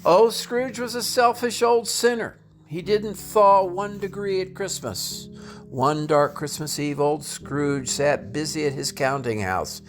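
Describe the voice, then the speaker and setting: A man reading a classic tale aloud as scripted narration, theatrical and deliberate in his delivery. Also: a little high, faint music behind. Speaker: storybook reader.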